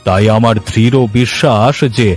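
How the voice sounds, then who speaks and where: A man voicing an authoritative, grave village headman in a cartoon, deliberate and familiar in his talk. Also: medium pitch, soft background music, pitched below the performer's own voice, natural voice.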